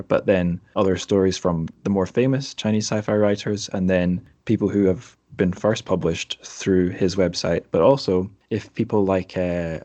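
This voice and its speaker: A man with a low voice hosts a podcast interview. He talks casually and chattily, slipping in informal asides. The sound is dry, with very little room to it. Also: darkish tone, no echo.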